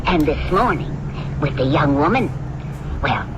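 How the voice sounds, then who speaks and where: An actress playing a naive, genteel elderly sister in an old-time radio drama, with a mild and fluttery manner. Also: female voice, low voice, animated, a little slow. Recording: dull radio drama under loud engine noise.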